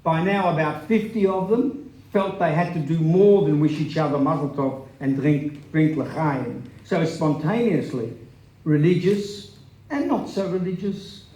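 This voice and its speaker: A man's voice: a little high, darkish tone. A man giving a scripted dramatic reading of a memoir on stage in an echoey hall. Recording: echoey live hall recording.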